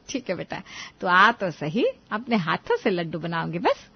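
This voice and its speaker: A woman's voice: lowish voice, expressive, unhurried, gravelly, husky, lo-fi sound, pitched below the performer's own voice, exaggerated voice. A woman voicing a bossy, doting middle-aged grandmother with a commanding, exclamatory manner in a family story. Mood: reassuring. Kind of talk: Hindi family moral story dramatization.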